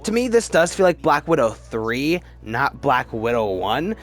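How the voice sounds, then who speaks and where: A man's voice: mid-range voice, sing-song, measured pace. A man hosting a casual, off-the-cuff ranking video, rambling through his opinions and restarting sentences as he goes. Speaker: video host reviewing films.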